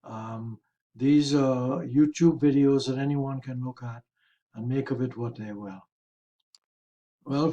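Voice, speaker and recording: Male market commentator, dark mellow tone, a little raspy and hoarse, careful hesitant explanatory delivery. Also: mid-range voice, muffled recording. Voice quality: slightly raspy. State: hoarse, throat-clearing. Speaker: host of a stock market review.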